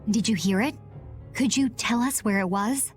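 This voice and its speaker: A woman playing a calm, rational young adventurer with a measured delivery in a video game. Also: a little high, soft background music, close to an everyday voice. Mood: reassuring.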